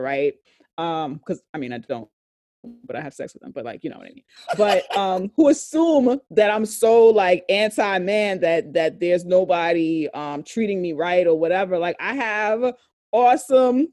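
Deep-voiced woman, blunt and emphatic. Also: warm tone, no echo. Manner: emphatic, profane, stretches out key phrases. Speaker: podcast co-host. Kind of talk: candid casual discussion.

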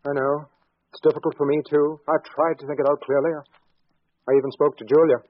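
A man's voice: on the high side, level tone, fast talker, no echo, close to an everyday voice. A man acting in a 1940s radio drama as a polite, hesitant married doctor with murder in mind. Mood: earnest pleading. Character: soft-spoken scheming married doctor plotting his wife's murder. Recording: dull 1940s radio recording, faint music.

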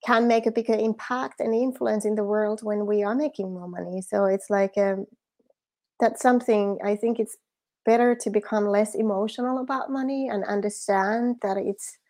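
A woman's voice: mid-range voice, mellow. A woman from Finland with a Finnish accent, a guest coach talking earnestly in a casual interview over a video call, often laughing and repeating words as she thinks. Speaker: guest, spiritual business coach.

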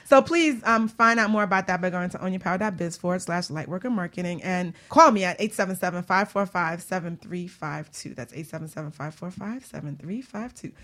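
A woman's voice: fairly low pitch, a little fast, few pauses, a bit bright. Playful woman hosting a casual radio chat. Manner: playful, teasing. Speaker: radio show host and coach.

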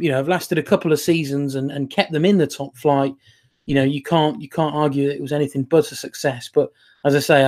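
A man giving football analysis in a measured, hesitant way, filling pauses as he goes. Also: medium pitch, a little mellow.

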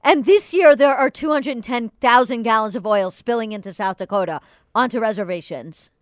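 A woman's voice: a little high, a little fast, no echo, studio quality. A woman co-hosting a casual news talk show, sarcastic and indignant about the stories she brings. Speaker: podcast co-host. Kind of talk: casual political chat.

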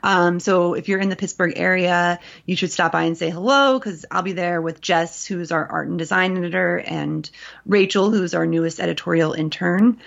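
A woman's voice: on the low side, normal speed, creaky, highs cut off. A woman in her thirties co-hosting a podcast in casual chat, talking freely with many fillers and restarts. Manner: chatty, lots of fillers and restarts. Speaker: podcast co-host and journal editor.

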